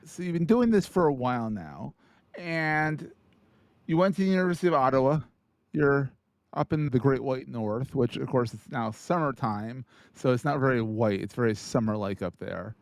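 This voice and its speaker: A man's voice: mid-range voice, moderate pace, dry recording. A man hosting a podcast, chatting casually and upbeat with his guest. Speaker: podcast host. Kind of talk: casual interview chat.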